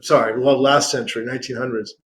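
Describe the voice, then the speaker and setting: A man's voice: mid-range voice, fairly even intonation, fast, a bit bright, slight background noise. A man from San Francisco, a guest author in a casual interview, speaking thoughtfully with small restarts. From San Francisco, USA.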